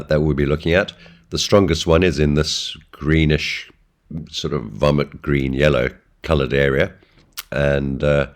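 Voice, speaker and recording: A man with an extremely deep, low voice that is hoarse from a flu. He talks through a weekly trading update in a relaxed way, with plenty of ums and uhs. It sounds like he is recording a voice-over at a home desk. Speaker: forex trading analyst. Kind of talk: screen-share market update.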